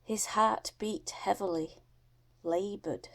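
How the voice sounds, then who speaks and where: A woman narrating an audiobook story in a measured, deliberate storytelling manner. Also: mid-range voice, a bit bright.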